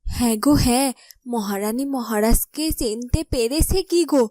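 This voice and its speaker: A woman's voice: fairly high pitch, unhurried, warm tone, no echo, studio quality, natural voice. A woman voicing a loyal, caring royal attendant in a fairytale cartoon, earnest and emphatic as she explains. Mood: pleased, earnest. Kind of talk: Bengali fairytale cartoon dialogue.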